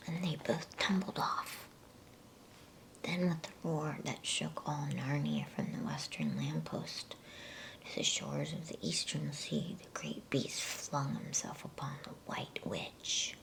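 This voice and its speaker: Woman, whispered storytelling, creaky breathy fry, low flat pitch, soft and soothing, ASMR bedtime reading. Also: rough recording. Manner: soft, slow, soothing, with pauses between phrases.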